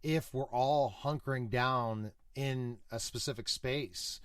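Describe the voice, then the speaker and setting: A man's voice: medium pitch, slow, dry recording, studio quality. A man hosting a radio interview in a chatty, promotional way. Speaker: radio show host.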